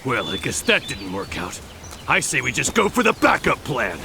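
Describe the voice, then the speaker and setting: A man playing a brash, greedy, excitable martial artist in a video game cutscene, with a gravelly voice. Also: medium pitch, moderate pace, background noise. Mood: scheming.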